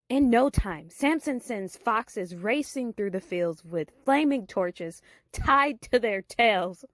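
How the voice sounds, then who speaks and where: A woman's voice: on the high side, lively intonation, slow. A woman telling a Bible story in a theatrical, suspenseful narrating style.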